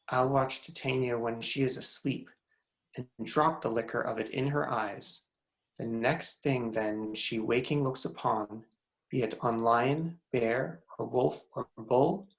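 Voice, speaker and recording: A man's voice: mid-range voice, vocal fry, low-quality recording. A man playing a jealous, commanding fairy king in a theatrical, declamatory reading of Shakespearean verse. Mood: scheming. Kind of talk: Shakespearean verse table read. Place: remote video call reading.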